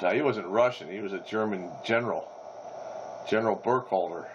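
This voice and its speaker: A man's voice: lowish voice, a little fast. A man chatting casually and wryly as an operator on a two-way radio. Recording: noisy, muffled two-way radio audio.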